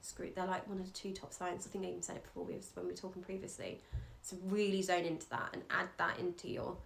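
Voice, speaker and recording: A woman's voice: on the low side, fast, vocal fry, slight background noise. Earnest Scottish woman reading a chart. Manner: encouraging, earnest, loose fillers and restarts. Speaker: astrologer.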